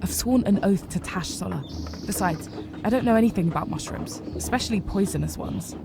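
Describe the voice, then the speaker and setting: A woman's voice: medium pitch, animated, a little fast, gravelly, noisy background. A woman playing an earnest, conflicted young rabbit healer's apprentice in a fantasy video game. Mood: troubled, reluctant. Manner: earnest, troubled, guarded. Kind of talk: dramatic video game dialogue.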